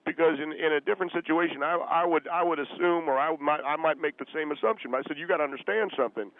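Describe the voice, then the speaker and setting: A man's voice: on the high side, no room sound, voice pushed up. Man playing an aggrieved caller on tinny phone. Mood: defensive, aggrieved. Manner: halting, earnest, indignant. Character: defensive, aggrieved, humorless male radio caller. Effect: telephone line. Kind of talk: comedic phone-in radio act. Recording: tinny, band-limited phone line.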